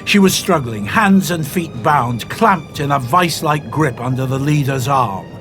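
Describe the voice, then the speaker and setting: Earnest male space traveller, dramatic first-person narration, highish animated voice, music under. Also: measured pace. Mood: tense.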